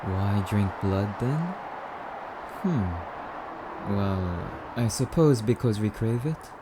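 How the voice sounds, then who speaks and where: A man's voice: fairly low pitch, lively intonation, very slow, over music, rough recording. A man playing a courtly, wistful male vampire in an ASMR roleplay, speaking in a musing, slightly archaic, haughty yet polite manner. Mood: coaxing, impatient. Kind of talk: ASMR roleplay monologue addressed to listener.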